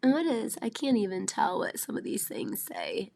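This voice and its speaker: A woman's voice: medium pitch, wildly swooping pitch, normal speed, creaky, dark tone, slight background noise. An older woman hosting a live craft class stream, chatty and rambling with lots of fillers, sounding a bit nasal from a cold. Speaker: craft show host.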